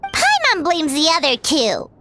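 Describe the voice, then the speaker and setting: A woman voicing a small, childlike, excitable fairy-like companion in an anime game, blunt and exclamatory, with a squeaky voice. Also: unhurried, over music, heavily acted. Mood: pondering. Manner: exclamatory, refers to herself in third person.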